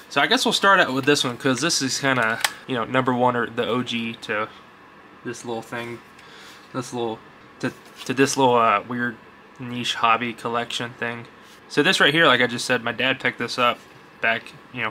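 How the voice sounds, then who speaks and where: A young man with a very bright, forceful voice and an ordinary mid-range pitch talks in a rambling, informal way. He sounds like a hobby vlogger doing an unscripted show-and-tell, with faint noise behind him. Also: normal speed. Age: young adult. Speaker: host of a vintage electronics collecting channel. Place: basement.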